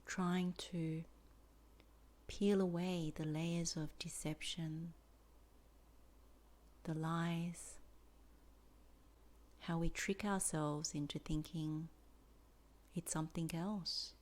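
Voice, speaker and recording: Soft, low-pitched woman guiding meditation. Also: steady tone. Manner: slow, deliberate phrasing with many pauses. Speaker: meditation teacher. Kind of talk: guided meditation instruction.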